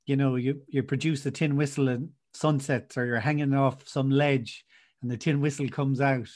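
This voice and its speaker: Eager podcast host, stumbling restarts. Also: male voice, medium pitch, fairly even intonation, moderate pace, darkish tone. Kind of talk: casual interview chat.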